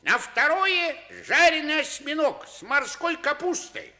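An actor playing a jolly, careless ship's cook in a radio play, in theatrical sing-song rhymed patter. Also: male voice, extremely high pitch, a little slow, pushed and bright, echoey room, exaggerated voice. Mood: hospitable, carefree. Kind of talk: Soviet radio play acting, rhymed half-sung patter.

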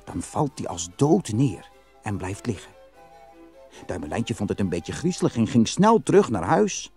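A man's voice: fairly low pitch, big pitch swings, moderate pace, dark tone, soft background music. A man narrating a fairy tale as a scripted storyteller.

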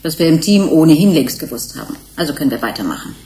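An actress playing a frank, composed research scientist in a radio play, explaining things emphatically. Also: female voice, low voice, expressive, fast talker, warm tone. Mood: frank, explanatory.

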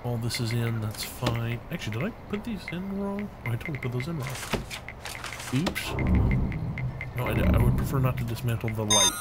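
A man's voice: medium pitch, animated, a little fast, loud music behind the voice. A man hosting a game let's play, rambling as he thinks aloud and humming to himself, his voice a bit scratchy from being ill. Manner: rambling, thinks aloud, hums filler tunes. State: recovering from illness, a bit scratchy. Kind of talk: casual gameplay commentary.

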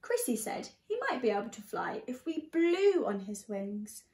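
A young woman reading a picture book aloud to young children in an expressive, teacherly way. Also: on the high side, normal speed. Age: young adult. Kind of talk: storybook read-aloud. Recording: echoey room sound.

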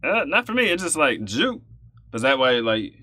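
A man in his thirties with a mid-pitched, bright voice that moves a lot in pitch. He talks in a laid-back, rambling way from a couch in a small living room. The sound is close and dry. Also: sing-song, normal speed, dry recording. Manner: laid-back, explains with many "you know" fillers. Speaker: co-host of a music reaction channel. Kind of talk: casual reaction chat.

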